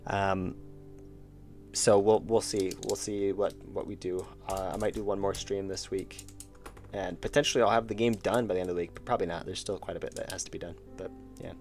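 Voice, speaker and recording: Man streaming casually, low voice, voice going hoarse, rambling thoughts, faint music underneath. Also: steady tone, fairly quick, darkish tone. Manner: rambling, thinks aloud, frequent restarts and chuckles. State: losing his voice. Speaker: streamer and indie game developer. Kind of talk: casual live-stream chat while drawing.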